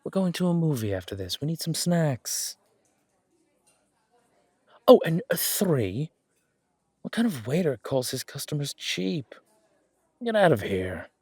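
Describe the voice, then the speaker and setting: Man playing a teasing mafia boss, husky and close. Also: a little high, sing-song, measured pace, long pauses, darkish tone, no echo, full character voice. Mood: mock-indignant. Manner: teasing, casual, mock-indignant, swearing. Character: intimidating but playful, affectionate mafia boss on a date. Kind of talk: romantic audio roleplay drama.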